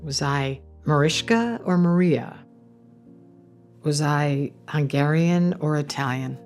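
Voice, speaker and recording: A middle-aged woman speaking candidly in an interview, pausing and restarting as she searches for words. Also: low voice, a little mellow, faint music behind. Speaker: interview guest. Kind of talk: personal interview talk.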